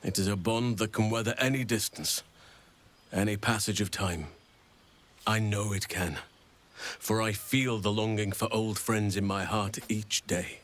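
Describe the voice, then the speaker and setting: An actor playing a gentle, wise, warm-hearted druid in a fantasy video game, speaking in formal, courtly, unhurried phrases. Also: male voice, fairly low pitch. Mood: earnest affection. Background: music.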